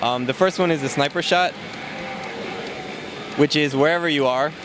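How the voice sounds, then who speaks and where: A man casually walking through a game character's moves, explaining in a relaxed way with many fillers, recorded at a noisy event. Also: on the high side, moderate pace. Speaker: game developer demoing a character. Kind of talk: casual gameplay walkthrough. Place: convention floor, noisy.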